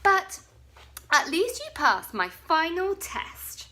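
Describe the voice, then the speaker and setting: Storybook figure: woman playing a cheeky little boy dinosaur, very high, loud and shrill, swooping taunts. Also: big pitch swings, slow pace, exaggerated voice. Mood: mocking. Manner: shrill, shouting, laughing taunts. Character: boastful young male dinosaur prankster. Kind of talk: storybook reading.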